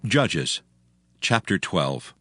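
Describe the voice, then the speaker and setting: A man's voice: sits low, big pitch swings, drawn-out words, pushed and bright, no room sound. A man narrating scripture as a formal, solemn dramatic reading.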